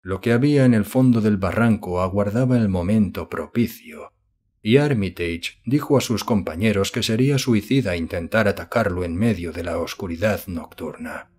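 A man narrates a scripted horror story in a fairly low voice. The tone is husky, and the delivery is solemn and literary. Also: moderate pace, a little mellow. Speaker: audiobook narrator.

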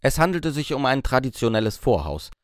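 A man's voice: mid-range voice, sing-song, fairly quick, clean tone, no echo, studio quality. A man reading a story aloud as an audiobook narrator in scripted storytelling style.

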